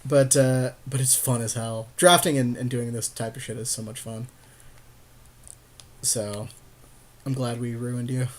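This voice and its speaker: A man's voice: medium pitch, fairly even intonation, unhurried, long pauses, slight background noise. A man co-hosting a gaming podcast, chatting and commenting on card play in slangy, sweary casual talk. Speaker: podcast co-host playing a card game. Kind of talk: casual game commentary with friends.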